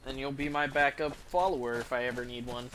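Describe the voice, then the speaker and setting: Bright-voiced young man streaming a game. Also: medium pitch, steady tone, fairly quick, a little hiss or hum, rough recording. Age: young adult. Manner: informal, swearing, thinking aloud. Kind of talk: casual gameplay commentary. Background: game music.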